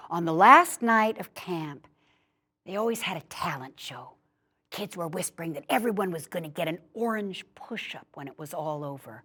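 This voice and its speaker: A woman reading a personal childhood memoir aloud in a measured storytelling way. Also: on the low side, fairly bright tone. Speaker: story reader.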